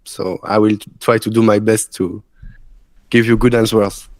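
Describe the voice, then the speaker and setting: A man in his thirties with a French accent, unwell, answering interview questions in halting English and searching for words. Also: lowish voice, level tone, darkish tone, dry recording. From France. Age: 30s. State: sick. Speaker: guest trader. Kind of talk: live stream interview.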